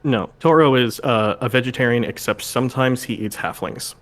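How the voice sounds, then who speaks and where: A man's voice: medium pitch, moderate pace. A man playing a tabletop game, joking casually with dry, mock-earnest humour.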